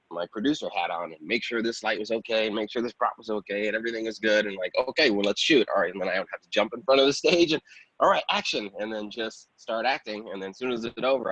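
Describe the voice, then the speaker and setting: A man with a low voice speaks casually as an interview guest. The sound is roomy and slightly muffled. His phrasing is loose and conversational. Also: a bit bright. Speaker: guest, actor and film producer.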